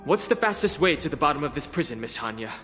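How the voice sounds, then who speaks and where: A man playing a calm, thoughtful ally in a video game, speaking in a measured, polite way. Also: a little high. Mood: calm, focused. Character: calm, thoughtful male ally on a prison mission. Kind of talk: English video game voice acting. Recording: long reverb, faint music behind.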